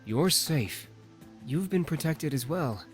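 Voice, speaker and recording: A man voicing a serious, protective demon in a visual novel, understated and measured. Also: on the high side, expressive, faint music behind. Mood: reassuring.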